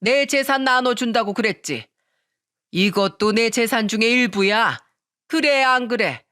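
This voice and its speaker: A woman's voice: fairly high pitch, measured pace, a bit bright. Woman acting a stern, scolding old mother-in-law. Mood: angry scolding. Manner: firm, reproachful, blunt.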